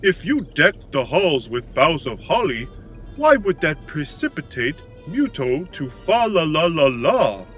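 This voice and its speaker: Man voicing a childlike, lively confused clone creature. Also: on the high side, measured pace, soft background music. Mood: puzzled, earnest. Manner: refers to itself in third person, stilted broken grammar. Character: childlike, confused, sensitive cloned creature speaking broken English. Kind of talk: audio comedy drama.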